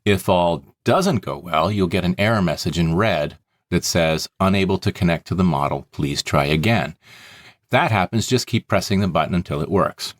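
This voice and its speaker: A man's voice: sits low, normal speed, dry recording. A man hosting a podcast, talking conversationally and explaining things plainly, step by step. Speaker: podcast host and story producer.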